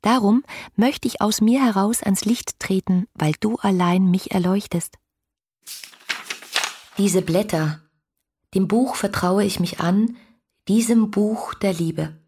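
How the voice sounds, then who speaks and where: A woman's voice: medium pitch, slow, pauses often, warm tone, slightly rough, no room sound, studio quality. An actress playing a passionate, lonely young woman in a literary radio drama, intimate and poetic, often sinking into whispers. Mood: fervent devotion. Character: passionate, adoring, lonely young woman writing love letters.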